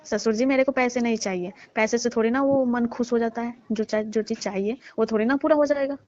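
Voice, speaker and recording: Woman acting as upset young bahu on a call, high voice, complaining over and over, dull lofi sound. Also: a little fast, a little mellow, lightly acted. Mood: plaintive, insistent. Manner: plaintive, repetitive, colloquial. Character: aggrieved young newlywed daughter-in-law, complaining and insistent. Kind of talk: amateur staged phone-call drama. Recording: dull lo-fi phone-call style recording.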